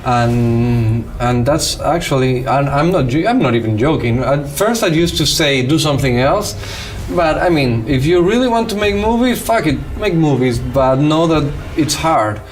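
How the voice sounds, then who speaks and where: A man's voice: fairly high pitch, animated, normal speed, slight background noise. A man from Cuba with a Cuban accent and Spanish as his first language, a film director talking casually and animatedly in an interview.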